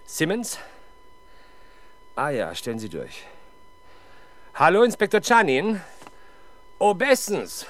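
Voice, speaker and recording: World-weary inspector, widely swinging voice. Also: male voice, fairly high pitch, wildly swooping pitch, takes it slowly, pauses often, a bit bright, heavily acted. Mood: hearty, interrupting. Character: world-weary male police inspector, dutiful and bitter. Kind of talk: 1970s German radio crime drama acting. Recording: 1970s radio drama, clear background noise.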